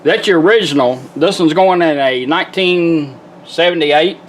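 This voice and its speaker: A man's voice: fairly high pitch, moderate pace, slight background noise, low-quality recording. A man giving a casual hands-on product demonstration in a plain-spoken, folksy, step-by-step way.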